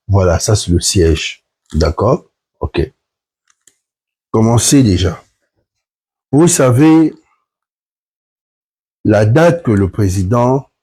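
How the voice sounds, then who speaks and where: Husky low-voiced man, sick. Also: a little mellow. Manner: earnest, explains point by point, addresses viewers directly. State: sick with flu, voice affected. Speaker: platform member hosting a live stream. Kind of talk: live stream address to viewers.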